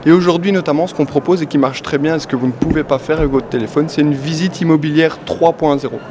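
A man with a Belgian French accent, answering an interviewer as a company guest. He talks with enthusiasm and a sales pitch, with many "euh" fillers. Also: quick pace, crowd noise. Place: event venue, among people. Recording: clear background noise.

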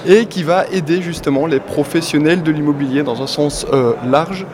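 A man with a Belgian French accent, answering an interviewer as a company guest. He talks with enthusiasm and a sales pitch, with many "euh" fillers. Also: normal speed, a crowd in the background. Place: event venue, among people. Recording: clear background noise.

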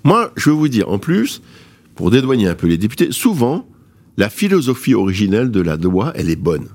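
Male panel guest, middle-aged, lower register, emphatic rhetorical delivery, opinionated. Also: sing-song, brisk, darkish tone. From born outside France, moved there at eighteen. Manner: emphatic, rhetorical, addresses listeners directly. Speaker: guest commentator on housing policy.

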